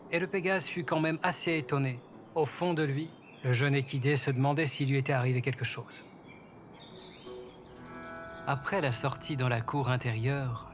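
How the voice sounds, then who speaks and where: A man narrates a fantasy tale from a script. His voice is mid-pitched, with loud music running underneath throughout.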